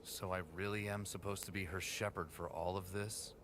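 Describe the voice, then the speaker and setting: A man performs a weary young warrior torn by doubt, in a lowish voice. He speaks with impassioned, questioning drama, over faint ambient noise. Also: little pitch movement, normal speed, natural voice. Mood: doubtful, earnest. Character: weary, self-doubting heroic young male Titan guardian. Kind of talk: dramatic audio drama acting.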